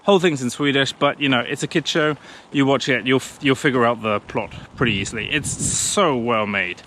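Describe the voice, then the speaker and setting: A man's voice: medium pitch, normal speed, a bit bright, a little hiss or hum. A man in his thirties talking casually to a camera clipped on a pushchair as he walks along a country path, chatty and self-deprecating. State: out of breath pushing uphill. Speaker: vlogger. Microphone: camera on a pushchair, walking.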